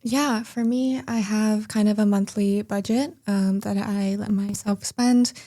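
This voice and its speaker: A woman's voice: mid-range voice, steady tone, unhurried, warm tone. A young woman in her twenties, a graduate student guest, talking casually and unhurriedly with many fillers. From United States. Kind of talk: casual chat.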